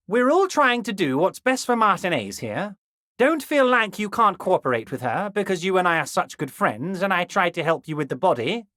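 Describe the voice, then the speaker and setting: Oily union boss, swooping intonation. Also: male voice, high voice, bright, forceful tone, no room sound, clean studio sound, full character voice. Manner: ingratiating, oily, insinuating, repeatedly addressing listener as a pal. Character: smug, falsely friendly, overweight corrupt union boss, adult man. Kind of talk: video game character dialogue.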